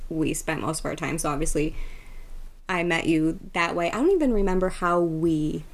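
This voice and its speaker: A woman's voice: sits low, normal speed. A woman in her twenties co-hosting a podcast, chatting casually and teasing with short, dry replies. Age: 20s. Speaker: podcast co-host. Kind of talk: casual chat.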